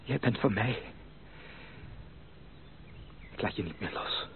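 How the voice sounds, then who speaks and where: A narrow, faintly noisy radio drama recording carries an ardent gentleman in love. His low voice moves widely in pitch, emphatic and resolute in its romantic pleading. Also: animated, fairly quick, creaky. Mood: fervent, intimate. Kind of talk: Dutch radio play acting.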